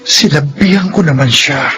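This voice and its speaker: A man's voice: on the high side, normal speed, music in the background, close to an everyday voice. Cocoy, an actor as a reformed ex-convict father. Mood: somber. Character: reformed ex-convict man, now businessman father. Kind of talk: classic radio drama acting.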